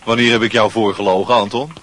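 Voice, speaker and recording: A man's voice: lowish voice, steady tone, quick pace, fairly bright tone, a little hiss or hum, lightly acted. A man playing a cocky, evasive teenage school friend with an affected nonchalance in a radio drama. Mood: defensive.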